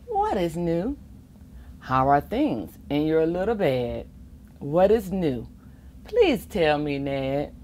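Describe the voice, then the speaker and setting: A middle-aged woman reading a rhyming picture book aloud to children in an expressive, playful way, with asides of her own. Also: on the high side. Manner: expressive, chuckling asides, acts out the rhymes. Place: library children's reading corner, indoors.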